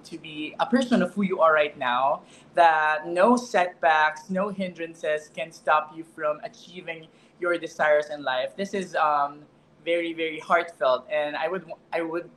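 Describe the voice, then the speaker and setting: A young man hosts an interview over a video call. He speaks haltingly, full of fillers and restarts. His voice is somewhat high, with a faint hiss of noise behind him. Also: fairly bright tone, poor audio. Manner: halting, with many fillers and repeats. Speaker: host, interviewer. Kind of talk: online interview. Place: indoor room.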